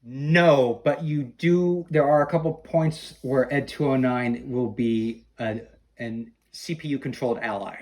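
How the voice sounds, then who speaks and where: A man's voice: mid-range voice, fairly even intonation, measured pace, a little mellow. A man chatting casually as a podcast guest reviewing a video game, often repeating words as he explains.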